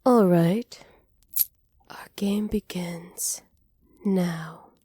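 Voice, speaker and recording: A woman playing a flirty, cruel evil witch in a soft ASMR roleplay, teasing and smug, close to the mic. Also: on the low side, slightly rough, no room sound, full character voice. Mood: sly, businesslike. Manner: teasing, smug, unhurried, often dropping to near-whispers. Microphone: close microphone, dry.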